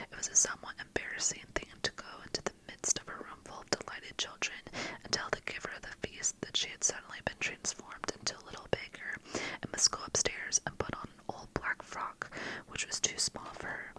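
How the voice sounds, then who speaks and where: Whispering storybook narrator. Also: rough recording. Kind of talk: whispered ASMR story reading.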